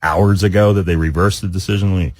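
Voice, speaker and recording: A man co-hosting a radio talk show, chatting casually in a halting, informal, rambling way. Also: low voice, fairly even intonation, darkish tone, no echo, clean studio sound. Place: radio studio. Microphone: close studio microphone.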